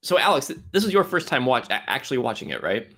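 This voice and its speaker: A man's voice: mid-range voice, wildly swooping pitch, quick pace, bright, forceful tone. A man hosting a podcast in casual film discussion, giving his opinions with emphasis. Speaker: podcast host.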